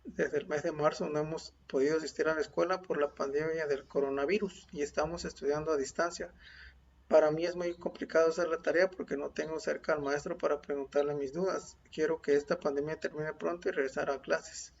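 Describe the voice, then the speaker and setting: A male teacher, dictating and reading a model text aloud slowly, saying the punctuation. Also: a little high, steady tone, vocal fry, a little hiss or hum. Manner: slow, deliberate dictation with spoken punctuation. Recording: dull lo-fi home recording.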